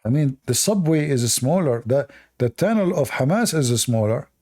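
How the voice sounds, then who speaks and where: A man's voice: medium pitch, lively intonation, normal speed, a little mellow. A man hosting a live-stream debate, talking to the chat in a sarcastic, mocking way. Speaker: livestream debate host.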